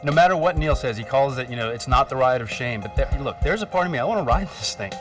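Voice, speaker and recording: A keyed-up man from the Bahamas talks fast about the ride ahead. His voice comes from off the microphone, with loud music played under it. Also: medium pitch, animated. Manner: quick, eager, keyed-up. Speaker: tour rider. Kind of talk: interview to camera. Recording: distant mic over loud music.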